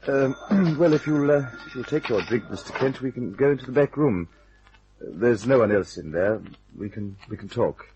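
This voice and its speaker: An actor playing a nervous, evasive English gentleman who stammers politely, in an old-time radio detective drama. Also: medium pitch, expressive, moderate pace, dull audio, a little hiss or hum, lightly acted. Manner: hesitant, stammering, courteous.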